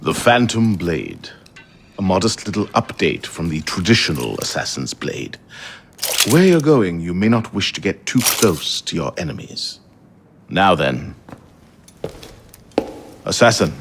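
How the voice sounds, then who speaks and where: Slow, solemn assassin council leader. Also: male voice, deep voice, background noise. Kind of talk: video game cutscene acting.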